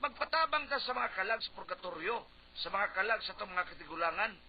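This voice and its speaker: A man's voice: sits high, animated, quick pace. A man playing a stubborn, devout, overconfident husband in a Cebuano radio drama, protesting in a whiny way with a Cebuano Filipino accent. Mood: insistent, protesting. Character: stubborn, devout husband running for vice mayor. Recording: muffled, noisy radio broadcast.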